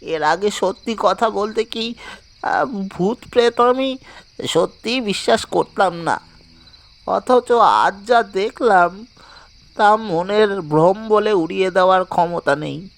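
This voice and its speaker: A man's voice: high-pitched, takes it slowly, vocal fry, long pauses, a bit bright, full character voice. A man acting a terrified young man in a haunted house, fearful and regretful, in a horror audio story. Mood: shaken dread.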